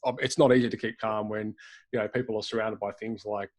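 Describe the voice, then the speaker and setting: Rambling podcast host. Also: male voice, on the low side, steady tone, quick pace, a little mellow. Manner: curious, rambling questions with many fillers. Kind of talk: casual panel discussion.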